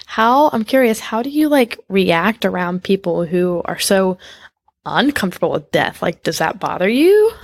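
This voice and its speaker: A woman's voice: medium pitch, very animated, unhurried, no room sound. A woman hosting a podcast, asking long rambling questions in a casual, chatty way. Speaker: podcast host. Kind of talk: casual conversational interview.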